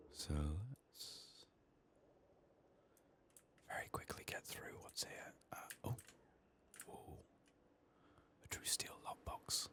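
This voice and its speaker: A soft-spoken man giving ASMR video game commentary, often slipping into whispers, his voice strained from being sick. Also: extremely low pitch.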